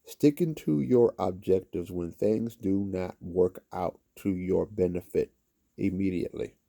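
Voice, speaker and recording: A man's voice: sits low, unhurried, vocal fry, warm tone. A man hosting his own channel, giving a motivational talk to camera and repeating phrases for emphasis.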